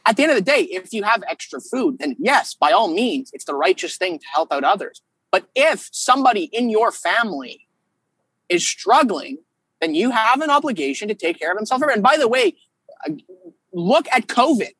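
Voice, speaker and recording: A man's voice: high voice, sing-song, normal speed, a bit bright, husky, dry recording, poor audio. A man with a Canadian accent talking casually and with strong opinions about politics, emphatic and argumentative, often acting out imagined exchanges. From Quebec, Canada. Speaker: podcast guest, political strategist.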